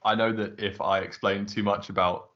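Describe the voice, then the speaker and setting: A young man from Southwest London talking in a measured, slightly hesitant way in a casual group chat. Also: on the low side, level tone. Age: young adult. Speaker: student guest. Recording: dull lo-fi audio.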